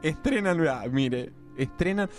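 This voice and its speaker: A man's voice: a little high, expressive, slow, soft background music. A man acting a slick, scheming male businessman who offers bribes, in an amateur radio play. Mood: smarmy. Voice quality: smarmy, wheedling.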